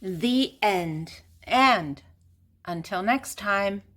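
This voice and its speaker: A woman's voice: medium pitch, animated, drawn-out words, a bit bright. An older woman reading a children's picture book aloud, speaking simply and directly to young listeners. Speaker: children's storybook reader.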